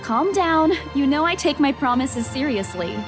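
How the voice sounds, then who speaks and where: A woman's voice: high-pitched, expressive, moderate pace, music in the background, voice pushed up. A woman voicing a girlish, cautious, superstitious young woman in a visual novel, earnest and sing-song. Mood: placating. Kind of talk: visual novel character read aloud.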